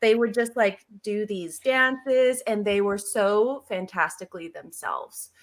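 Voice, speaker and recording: Animated American woman telling personal stories. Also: mid-range voice, a little slow. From Seattle area, United States. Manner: animated, emphatic, jokey asides with acted-out quotes. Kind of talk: casual conversational storytelling.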